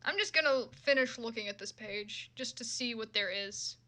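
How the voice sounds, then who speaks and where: Bright, high-voiced woman chatting on livestream. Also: normal speed. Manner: sweary, exclaiming, self-deprecating. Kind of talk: casual livestream chat, reacting to fan fiction.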